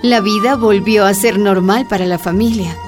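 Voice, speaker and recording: Woman narrating a radio drama over music. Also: mid-range voice. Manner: storytelling, dramatic pauses.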